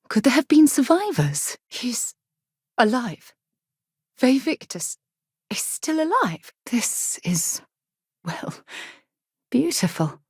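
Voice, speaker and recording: A woman's voice: a little high, darkish tone, breathy edge, no room sound, clean studio sound, exaggerated voice. A woman playing a principled, earnest space explorer in a video game, speaking articulately with precise diction. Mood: alarmed, urgent, then tender, sincere. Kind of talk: video game character dialogue.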